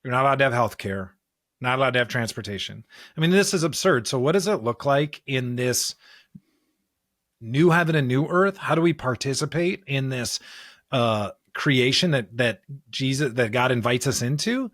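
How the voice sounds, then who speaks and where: An American man with a mid-pitched voice talks as a podcast co-host in a conversational religious discussion. He is earnest and emphatic, stacking repeated phrases to drive points home. The sound is dry, with very little room around it. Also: lively intonation, moderate pace, a bit bright, no room sound.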